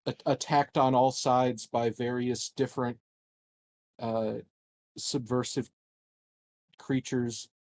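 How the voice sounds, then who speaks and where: A man's voice: mid-range voice, flat delivery, takes it slowly, long pauses, darkish tone, dry recording. A man playing a tabletop game, chatting casually in a jokey, rambling way. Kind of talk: casual joking chat.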